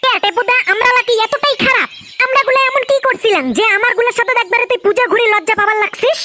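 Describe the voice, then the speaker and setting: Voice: quick pace, heavy background noise. A performer voicing a cheeky, whining young lad in a comedy cartoon, defensive and crude, with a North Bengal accent. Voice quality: squeaky, artificially high voice.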